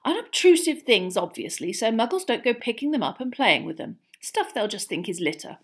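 A woman's voice: fairly high pitch, very animated, a little fast. A woman voicing a mild, earnest middle-aged wizard father in a storybook, explaining patiently with slight hesitation. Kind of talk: acted audiobook dialogue.

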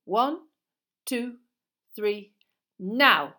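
A woman voicing a brisk, encouraging male professor of magic in a storybook, calling out instructions to a class. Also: fairly high pitch, animated, voice pushed up. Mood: calm, instructing. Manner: commanding, calling out instructions to pupils. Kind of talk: storybook character voice.

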